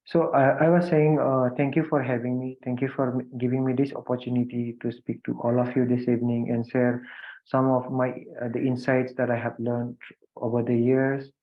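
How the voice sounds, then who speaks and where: A man from India speaks English with an Indian accent, pausing often with fillers and restarting his sentences. His voice sits at a middle pitch. The audio is thin and phone-like, as through a video call connection. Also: little pitch movement. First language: Hindi or a regional language of India. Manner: hesitant, many fillers and restarts. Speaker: guest speaker. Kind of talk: video conference remarks.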